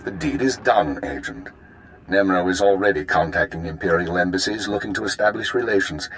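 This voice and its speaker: An actor playing a composed, authoritative imperial spymaster in a video game, formal and measured. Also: male voice, deep voice, animated, a bit bright, soft background music, rough recording. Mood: satisfied.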